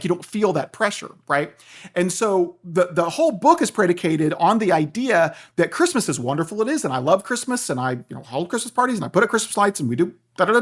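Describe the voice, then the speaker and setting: Conversational man explaining, mid-to-high voice. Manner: animated storytelling, often checks in with "right?". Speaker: guest, philosophy professor and author.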